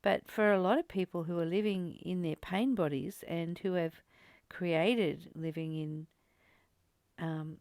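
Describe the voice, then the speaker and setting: A woman's voice: on the low side, measured pace, a little mellow, no echo, clean studio sound. A woman leading a casual, reflective spiritual discussion in a conversational way, repeating phrases for emphasis. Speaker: spiritual study host.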